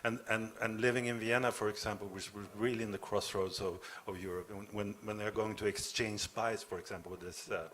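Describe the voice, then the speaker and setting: A man from Norway answering at a panel discussion, speaking haltingly with many repeated words. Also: lowish voice, steady tone, fairly bright tone, slight background noise.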